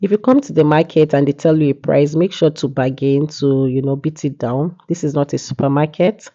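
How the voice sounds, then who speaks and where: A woman's voice: low voice, moderate pace, mellow. Woman, congested from a cold, chatty vlog narration, muffled recording. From Nigeria. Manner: chatty, leans on "yeah" and "you know". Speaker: vlogger. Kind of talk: casual market vlog narration.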